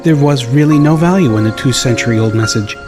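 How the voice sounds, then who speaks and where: A man's voice: mid-range voice, a little fast, music in the background. A man reading first-person scripted story narration as an audiobook narrator.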